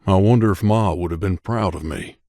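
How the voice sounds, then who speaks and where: An actor playing a loyal, wry gunslinger companion in a video game, talking casually and familiarly. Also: male voice, low voice, fairly quick, warm tone, no room sound, clean studio sound. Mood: wistful. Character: loyal wasteland gunslinger companion man, wry, devoted to his mother.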